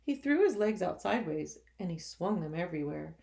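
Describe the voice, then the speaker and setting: A woman, a teacher, reading a picture book to children expressively, with gentle sympathetic asides. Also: lowish voice, big pitch swings, a little fast, gravelly, slight background noise. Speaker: teacher reading a storybook to pupils.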